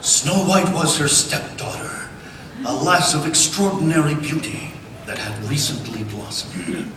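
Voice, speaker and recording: Echoey, animated man narrating to a crowd. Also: fairly high pitch, unhurried. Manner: playful, theatrical, ad-libbing. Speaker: voice actor reading as narrator at a panel. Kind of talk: live cold read of a storybook script. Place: large indoor convention panel hall. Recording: echoey convention hall from far off, noisy crowd. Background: audience and room noise.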